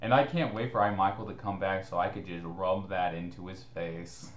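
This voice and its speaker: A man's voice: low voice, fairly even intonation, normal speed, a little hiss or hum. A man co-hosting a casual mocking commentary, sarcastic and profane in the way he talks. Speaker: co-host reading his written replies to comments. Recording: echoey room sound.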